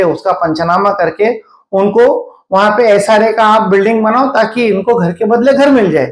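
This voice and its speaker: A man with a Mumbai Hindi accent explains a civic matter in an interview, emphatically and step by step. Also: sits high. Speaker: interviewee commenting on local civic affairs. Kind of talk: spoken news interview, explanatory commentary. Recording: echoey room sound.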